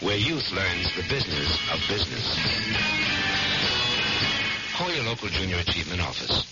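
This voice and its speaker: Very animated male radio announcer. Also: deep voice, moderate pace. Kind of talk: scripted public service advertisement. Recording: dull lo-fi old radio recording. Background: loud music.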